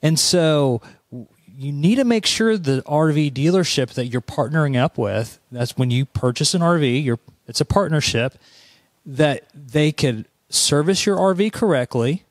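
A young man with an Oklahoma accent co-hosting a dealership podcast, chatty and animated in casual talk. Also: normal speed, dry recording. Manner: chatty, animated, acts out customer quotes with pitch jumps. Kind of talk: casual promotional chat. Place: small studio set indoors. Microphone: standing studio mic with headphones.

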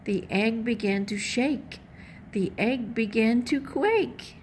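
A woman reading a children's picture book aloud in an animated, deliberate storytelling manner. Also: a little high, a little hiss or hum. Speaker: storybook reader.

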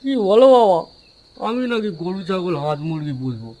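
A man's voice: high voice, very animated, normal speed, a little mellow. A man voicing a lazy, headstrong and naive young village son in a cartoon. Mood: scheming.

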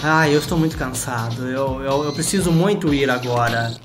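A man's voice: medium pitch, moderate pace, close to an everyday voice. A man with a Brazilian accent voicing a friendly, loyal young video game hero in a plain, earnest way. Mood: tired, friendly. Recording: loud game music behind.

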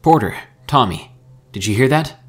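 A man voicing a hot-tempered, panicky young man in a horror story, urgent and quick to shout. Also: lowish voice, husky, soft background music, exaggerated voice. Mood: panicked. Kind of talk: dramatic horror audiobook character acting.

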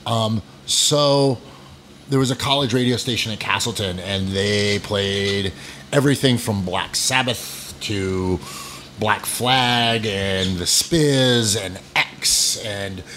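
A man's voice: fairly low pitch, takes it slowly, a bit bright, a little hiss or hum. A middle-aged man from New England, guesting on a casual podcast, rambling through stories with self-deprecating jokes and many restarts. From New England, United States. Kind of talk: casual storytelling interview.

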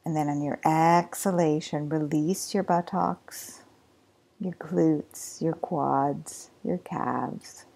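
A woman guides a body-scan meditation in a low, mellow voice. She gives each instruction slowly and softly, with long pauses between them. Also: steady tone, vocal fry. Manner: slow, soft instructions with drawn-out pauses. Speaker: yoga nidra teacher.

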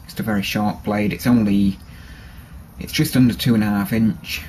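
Man, low, level delivery, casual and hesitant, workshop camera mic. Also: normal speed, slight background noise. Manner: relaxed, hesitant with many ums and restarts. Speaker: bushcraft hobbyist showing a knife. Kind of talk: casual show-and-tell. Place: workshop with a grinder and workbench.

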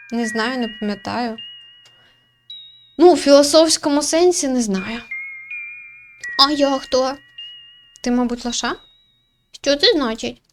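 A woman with a high-pitched voice plays through a game and comments casually. She is chatty and playful, moving between reading lines aloud and muttered side remarks. Music plays clearly behind her. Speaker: gaming video creator, player. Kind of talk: casual playthrough commentary, reading visual novel dialogue aloud.

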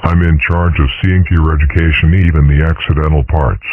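Very deep husky man, measured and tinny. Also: fairly even intonation, dry recording. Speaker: comic dub voice actor.

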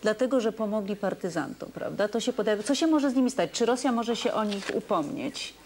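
A woman's voice: mid-range voice, animated, fairly quick, darkish tone, slight background noise. A woman in her thirties hosting a TV studio discussion, putting direct questions to her guests. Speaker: host.

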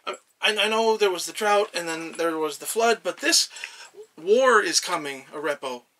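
A man voicing a small, devoted male shrine god who talks in an anxious, excitable, affectionate way. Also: high-pitched, normal speed, full character voice. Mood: urgent.

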